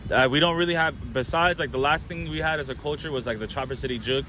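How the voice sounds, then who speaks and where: A man's voice: a little high, quick pace, sharp tone, a little hiss or hum. A young man from Orlando hosting a podcast, chatting loosely with lots of fillers and restarts. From Orlando, Florida. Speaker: podcast host. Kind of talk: casual chat.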